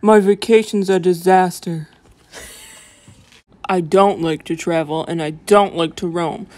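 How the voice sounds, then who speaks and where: A woman's voice: lowish voice, steady tone, unhurried, darkish tone, close to an everyday voice. A woman voicing an earnest travel-loving fish in rhythmic rhyme for a children's storybook. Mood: upset, homesick. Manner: earnest, rhythmic rhyming delivery.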